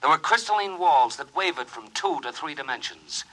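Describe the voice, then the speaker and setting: A dazed, anxious psychiatric patient in a 1950s radio drama. His husky voice is tense and swings widely in pitch, halting with emotional strain. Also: lively intonation, a bit bright, poor audio. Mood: dazed recollection.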